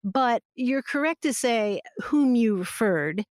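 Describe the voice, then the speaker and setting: A middle-aged woman explaining grammar rules in a relaxed, friendly way, laughing easily as she talks. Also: a little high, slow, dry recording, studio quality. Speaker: grammar expert guest. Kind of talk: conversational explanation on a podcast.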